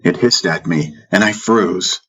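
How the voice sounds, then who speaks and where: A man narrating a first-person fantasy audiobook in a measured way, with dramatic pauses. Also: low voice, fairly bright tone, breathy edge, muffled recording. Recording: music bed under the voice. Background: background music.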